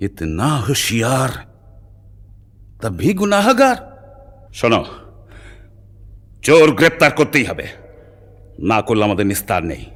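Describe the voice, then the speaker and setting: Wildly swooping, imperious robber chief. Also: male voice, mid-range voice, wildly swooping pitch, measured pace, long pauses, a bit bright, long reverb tail, heavily acted. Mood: threatening, commanding. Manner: declamatory, rhyming, commanding. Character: imperious, threatening male chief of a robber gang. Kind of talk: theatrical cartoon dialogue.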